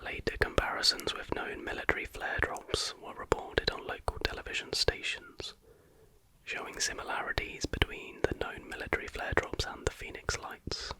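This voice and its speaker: An ASMR host reading factual material aloud in a breathy, unvoiced whisper with a measured, hushed delivery. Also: poor audio.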